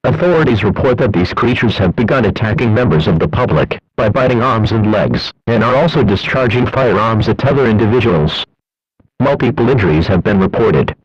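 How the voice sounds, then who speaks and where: A synthetic male announcer reading scripted emergency alerts and weather reports in a formal, impersonal, measured way. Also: fairly low pitch, slightly rough, no echo. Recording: dull lo-fi radio-style broadcast audio.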